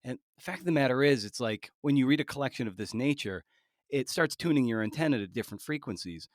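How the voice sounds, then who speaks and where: A man interviewing as a podcast host in a casual, halting way, rambling as he thinks aloud. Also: medium pitch, expressive, dry recording, studio quality.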